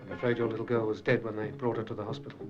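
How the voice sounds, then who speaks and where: Actor as a serious doctor, muffled, over music. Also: male voice, on the low side, flat delivery, very fast, natural voice. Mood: regretful. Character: serious male hospital doctor breaking bad news. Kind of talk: public information film drama. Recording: dull old film soundtrack, music behind.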